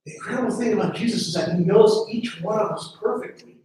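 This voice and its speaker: High-voiced preacher in an echoey hall. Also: male voice, fast talker, a little mellow. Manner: earnest, repeats words when starting phrases. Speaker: pastor. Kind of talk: sermon. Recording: very echoey room from a distance.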